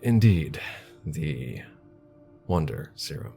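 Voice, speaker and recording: Very deep, creaky arrogant scientist. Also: male voice, expressive, warm tone, faint music behind, heavily acted. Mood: dry. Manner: measured, condescending, formal. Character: smooth arrogant male scientist, head of corporate bioengineering. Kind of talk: audio drama interview acting.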